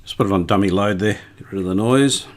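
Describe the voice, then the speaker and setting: A man's voice: lowish voice, quick pace, over loud music. A man giving a casual hands-on repair demonstration, unscripted and thinking aloud as he works. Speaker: host of radio repair video.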